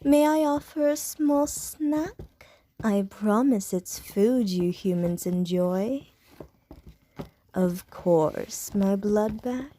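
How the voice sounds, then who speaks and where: Slow dark-voiced lady vampire teasing you, a young woman doing it, soft and whispery. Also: mid-range voice, slight background noise.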